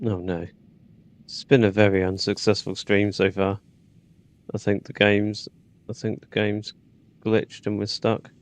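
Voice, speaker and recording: A man's voice: fairly low pitch, level tone, measured pace, pauses often. A man casually commenting while streaming a game, wry and self-deprecating.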